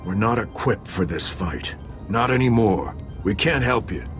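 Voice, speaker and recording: Battle-weary army sergeant over music. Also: male voice, lowish voice, animated, unhurried, fairly bright tone. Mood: defeated. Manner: clipped military delivery. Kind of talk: sci-fi video game acting.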